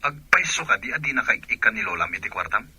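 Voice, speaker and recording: A man playing an earnest, concerned father in an Ilocano-accented radio drama, questioning in a rough-edged voice. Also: mid-range voice, animated, fast, pushed and bright, no echo, full character voice. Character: concerned father working far from home, earnest. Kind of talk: Filipino radio drama acting.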